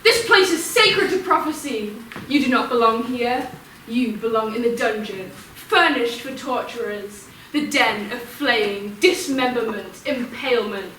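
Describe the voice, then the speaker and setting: Actress as commanding tragic deity, fairly high forceful voice, emphatic declamation, echoey space. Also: female voice, measured pace. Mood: furious, contemptuous. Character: proud, authoritative god, protective of follower, contemptuous of Furies. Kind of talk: Greek tragedy stage acting. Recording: echoey room, distant mic, faint noise.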